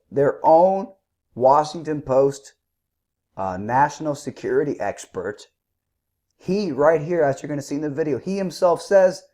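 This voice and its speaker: A man with a sore throat giving combative, scornful political commentary, clearing his throat now and then. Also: on the high side, a little mellow. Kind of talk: opinionated commentary.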